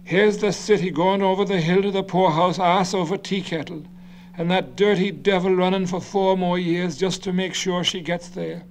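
An actor playing a combative, hot-tempered old city politician who rants and blusters indignantly. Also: male voice, high voice, fairly even intonation, normal speed, slight background noise. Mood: ranting.

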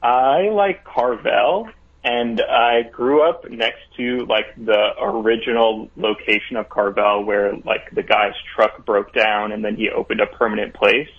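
A man's voice: lowish voice, fairly even intonation, unhurried, slightly rough. A man calling into a radio show who tells a rambling story full of fillers. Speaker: caller. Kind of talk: phoned-in story. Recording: thin phone line.

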